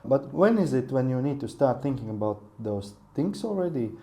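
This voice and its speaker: A man's voice: medium pitch, sing-song, normal speed, warm tone. A man hosting a business podcast, asking thoughtful, hesitant questions with frequent restarts. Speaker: podcast host. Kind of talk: interview questions.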